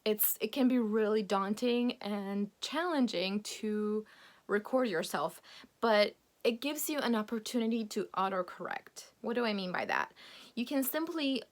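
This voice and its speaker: A woman's voice: mid-range voice, animated, measured pace. A young woman from Poland, a native Polish speaker, giving a casual, encouraging English lesson to camera, laughing often. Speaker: English coach.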